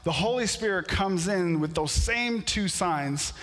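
A man's voice: high-pitched, measured pace. Man preaching in a reverberant church. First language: French. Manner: storytelling, builds to animated outbursts. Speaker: pastor. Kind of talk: sermon. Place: church sanctuary.

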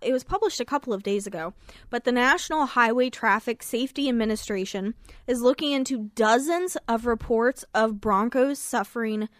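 A woman's voice: on the high side, normal speed, no echo, studio quality. A woman with a scratchy voice, co-hosting a radio talk show in a casual, chatty style.

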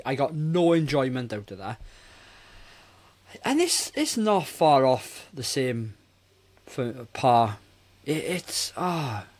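Animated man in casual podcast chat. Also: fairly high pitch. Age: 40s. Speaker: podcast co-host. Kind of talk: casual film review chat.

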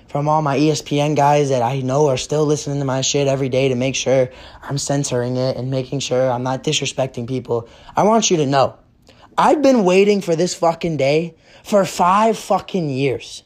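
Hoarse, profane young man hosting sports. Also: fairly high pitch, moderate pace, darkish tone. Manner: profane, blunt, fired up. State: hoarse, losing his voice. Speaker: sports podcast host. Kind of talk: casual sports rant.

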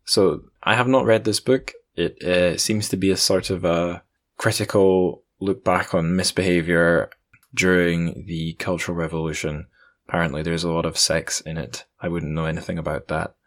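Low-voiced man hosting a podcast. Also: flat delivery, moderate pace. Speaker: podcast host. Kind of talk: casual podcast news and interview hosting.

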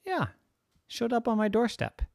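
A storyteller voicing a calm, matter-of-fact young boy who talks in a shrugging, playful way. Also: medium pitch, moderate pace, gravelly, no room sound, clean studio sound, voice pushed up. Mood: offhand.

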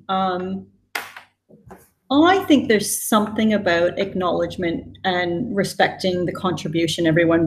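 A woman with a somewhat low voice answers interview questions in a reflective, engaged way. Her Canadian accent has softened toward British. She speaks easily, with the occasional "um". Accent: mixed Canadian and British. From West Coast Canada. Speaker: guest, design executive.

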